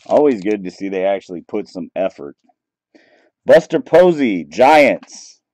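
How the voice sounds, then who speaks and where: A man in casual commentary, reading out cards as he opens packs at a table, relaxed and chatty, picked up by a camera mic. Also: lowish voice, unhurried, no room sound. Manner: relaxed, chatty, reading out names and teams as he goes. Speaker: card break host. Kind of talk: casual commentary while opening trading card packs. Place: small room at a table, off camera.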